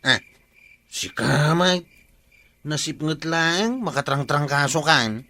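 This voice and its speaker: A dismissive, teasing village patrolman, played by a man in Ilocano radio comedy. His voice is a little high, crisp and bright with a lot of creak, and carries an Ilocano accent. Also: lively intonation, slow, heavily acted.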